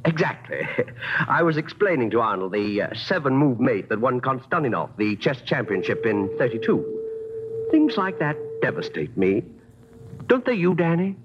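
An actor playing a suave crime boss in a radio drama, affected and condescending, with mocking politeness. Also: male voice, mid-range voice, very animated, normal speed, close to an everyday voice. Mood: disdainful. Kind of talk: 1950s radio drama acting. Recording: muffled old radio recording, faint noise.

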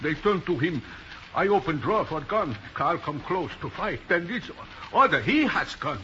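An actor playing a distraught old immigrant club owner with an Eastern European accent, in broken, plaintive English in a radio drama. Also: male voice, on the high side, very animated, moderate pace, bright, forceful tone. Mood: grieving, upset. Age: older. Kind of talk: 1950s radio drama acting. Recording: dull, faintly noisy old radio recording.

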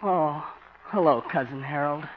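High-voiced, dreamy lovesick youth. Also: male voice. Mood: dazed. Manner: dazed, mooning, slow. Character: lovesick, dreamy, lazy young male cousin. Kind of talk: 1950s radio comedy acting. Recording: noisy, muffled 1950 radio recording.

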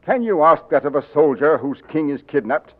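An actor in a 1940s radio drama playing a stern, loyal army colonel who speaks in a grave, urgent, clipped way. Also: male voice, a little high, animated, a little fast. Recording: tinny old radio broadcast.

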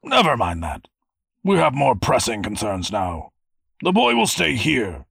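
A man's voice: medium pitch, huge pitch swings, measured pace, vocal fry, fairly bright tone, husky, no room sound, studio quality, pitched above the performer's own voice, full character voice. A man voicing a pompous, impatient would-be heroic nobleman in a story, with affected, declamatory noble airs.

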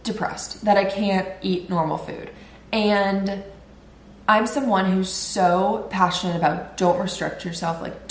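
A woman's voice: mid-range voice, vocal fry, roomy sound, a little hiss or hum. Congested nasal woman in her twenties. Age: 20s. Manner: chatty, rambling, lots of "like". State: recovering from illness. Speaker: podcast host. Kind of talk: casual solo podcast chat.

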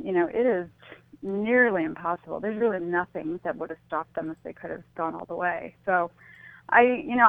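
A woman's voice: on the low side, no echo. A woman is a guest on a radio interview, heard over a narrow, phone-like line with no room sound. She talks in a reflective, conversational way, a little tentative, often checking her point as she goes. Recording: thin, phone-like call-in line.

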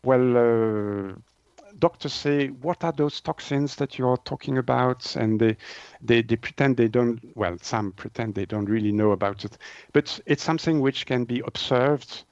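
Guy explaining stuff in an interview, searches for words, medium pitch, dry but kinda dull audio. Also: a little mellow, clear, resonant tone, no room sound. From Switzerland. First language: French. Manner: halting, hesitant delivery with word searching. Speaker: naturopath, interview guest.

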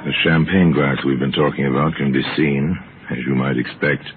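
A man narrating an old-time radio mystery as host, measured and storytelling in his delivery. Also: rumbling low, steady tone, faint music behind. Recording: tinny old radio broadcast.

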